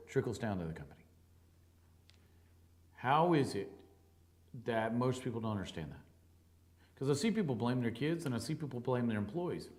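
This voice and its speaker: A man with a booming baritone voice, hosting a talk show and speaking in an emphatic, conversational monologue. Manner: conversational, emphatic, acts out quoted people. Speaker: radio and TV talk show host.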